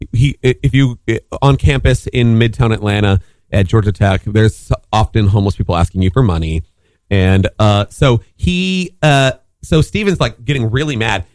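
A man rambles through a story in relaxed podcast chat. He keeps stalling on fillers and restarting sentences. His voice sits mid-range and close on a dry mic. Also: mid-range voice, no room sound. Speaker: podcast co-host telling a story.

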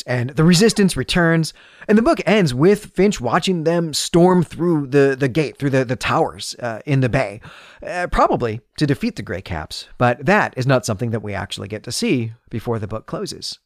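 A man presents a book podcast in an explanatory, semi-scripted but conversational style. His medium-pitched voice moves up and down in a very animated way, with emphatic phrasing. The recording is close and dry. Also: no echo. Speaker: podcast host and historian.

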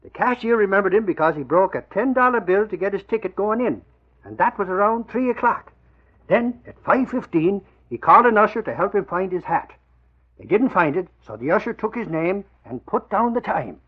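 An excitable, folksy reporter in a 1940s radio drama. His voice sits high and leaps around with emphatic, slangy phrasing. The sound is dull, like an old broadcast. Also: fairly quick, husky, exaggerated voice. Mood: earnest.

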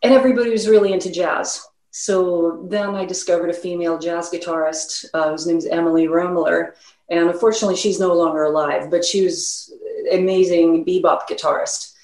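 Musician guest: a Swedish-accented woman with a lower voice, chatty and loose, recorded in a roomy space. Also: fairly even intonation, brisk, darkish tone. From Sweden. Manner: rambling, many fillers and restarts. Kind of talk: casual interview talk.

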